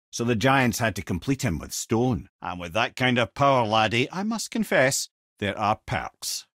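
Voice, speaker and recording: A man's voice: medium pitch, a bit bright, husky, dry recording, studio quality. An actor playing a witty, learned old male talking head in a video game, with a Scottish accent and a wry, wordy, old-fashioned storytelling manner. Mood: wry, companionable. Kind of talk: video game character dialogue, storytelling and battle callouts.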